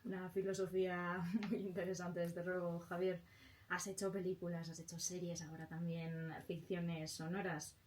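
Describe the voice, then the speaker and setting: A woman's voice: lowish voice, level tone, normal speed, darkish tone, distant mic, a little hiss or hum, poor audio. A woman from hosting a conversational interview. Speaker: interview host.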